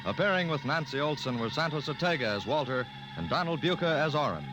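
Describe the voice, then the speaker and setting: A man's voice: a little high, animated, fast. An American man reading program credits in a formal, declamatory 1950s radio announcing style. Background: music.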